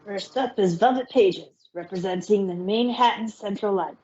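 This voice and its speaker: A woman's voice: medium pitch, moderate pace, mellow, muffled recording, a little hiss or hum, natural voice. A woman voicing a chipper young dragon who acts as court herald in an amateur fan fiction reading. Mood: formal announcing.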